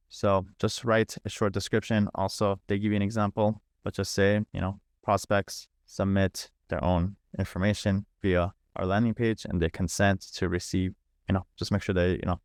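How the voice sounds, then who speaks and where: Guy with a lowish voice hosting an online tutorial, super informal, thinks out loud, dry close sound. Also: no room sound. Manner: informal, repetitive, thinking aloud step by step. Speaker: host of an online software setup training. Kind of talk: casual live screen-share walkthrough. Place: live online video call.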